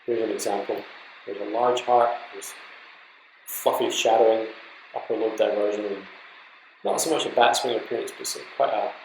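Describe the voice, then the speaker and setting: A man lectures in a low voice with plenty of creak at the edges. He explains things step by step in an informal, instructive way, as if teaching trainee doctors from slides. The recording is roomy and echoey. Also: fairly even intonation, normal speed, long pauses, a little mellow, a little hiss or hum. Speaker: medical lecturer.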